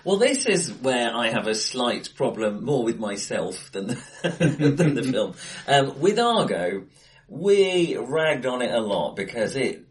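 A man's voice: mid-range voice, very animated, slow. Hesitant man chatting about film. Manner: hesitant, thinking aloud, self-correcting. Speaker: film podcast co-host. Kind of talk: casual film discussion. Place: acoustically bright room indoors. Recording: echoey bright room, face to face.

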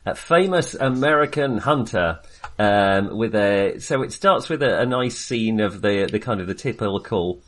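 A man co-hosting a podcast, chatting casually about films in a halting, jokey, self-deprecating way. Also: lowish voice, lively intonation. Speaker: podcast co-host. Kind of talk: casual film discussion.

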